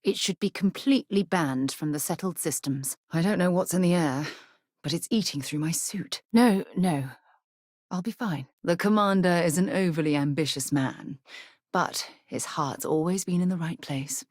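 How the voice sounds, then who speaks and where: A woman's voice: fairly low pitch, normal speed, darkish tone, no room sound, studio quality, natural voice. A woman playing an earnest, principled space explorer and leader in a video game, speaking in an articulate, composed way with formal phrasing. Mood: warm, conversational, then strained, wary. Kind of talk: video game character voice acting.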